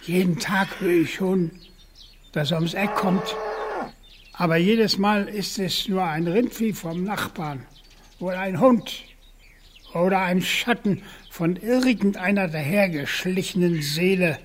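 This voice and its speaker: An actor playing a bitter, world-weary old farmer facing death, brooding and halting, in a German radio play. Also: male voice, high-pitched, a little hiss or hum. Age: older.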